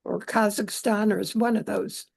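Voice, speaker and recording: A woman's voice: medium pitch, steady tone, normal speed, vocal fry, darkish tone, slightly rough. A woman hosting a casual interview, friendly and laughing often, with short interjections. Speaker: interviewer host.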